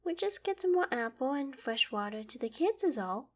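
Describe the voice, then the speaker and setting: Woman acting a breathy, gentle young queen. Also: high-pitched, big pitch swings, moderate pace. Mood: flustered, tender. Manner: soft, breathy, dropping into whispered asides. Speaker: voice actor in audio roleplay. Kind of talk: scripted ASMR roleplay acting.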